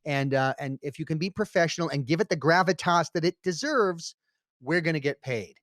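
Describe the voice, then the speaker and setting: A man co-hosting a comedy podcast with deadpan, mock-earnest delivery. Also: fairly high pitch, fairly quick, dry recording, studio quality.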